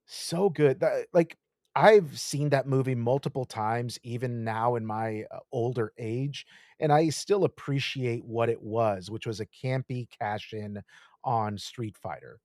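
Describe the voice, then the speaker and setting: A man co-hosting a podcast, gushing with animated enthusiasm and swearing often in casual chat. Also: mid-range voice, unhurried, no room sound, studio quality. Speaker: podcast co-host.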